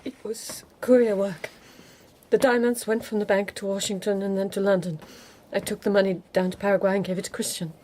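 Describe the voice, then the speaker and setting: An actress playing a guarded, secretive young lover and courier in a radio drama, with clipped, evasive phrasing. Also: female voice, mid-range voice, little pitch movement, fairly quick, mellow, a little hiss or hum, close to an everyday voice. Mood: subdued confession. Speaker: actor.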